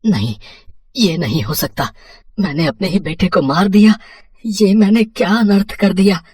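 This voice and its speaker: A man acts out a humble potter, devoted husband and father, in a storybook tale. His voice is husky and emotional, with a reverent, pleading way of speaking. It sits a little away from the mic in a dry space. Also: high-pitched, unhurried, darkish tone, no room sound, distant mic. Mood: anguished, pleading. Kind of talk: acted dialogue in a Hindi moral story.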